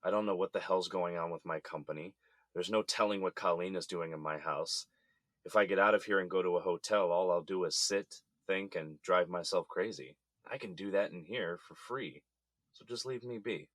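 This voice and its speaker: A man's voice: low voice, normal speed, fairly bright tone, no echo. An actor playing a weary, blunt middle-aged businessman held in jail, in a naturalistic audio drama. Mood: resigned, stubborn. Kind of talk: naturalistic scripted drama dialogue.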